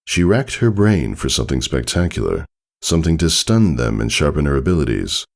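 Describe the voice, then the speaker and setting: A man's voice: very deep voice, sing-song, fairly quick, creaky. A man reading a story aloud as a scripted narration. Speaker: fan fiction reader.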